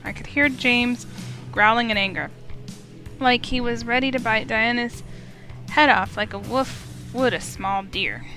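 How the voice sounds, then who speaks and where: A woman with a fairly high voice reads first-person game narration aloud. She tells it as a dramatic story, in the manner of a let's play. Music plays behind her. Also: measured pace, pushed and bright. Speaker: let's player reading the heroine's narration aloud.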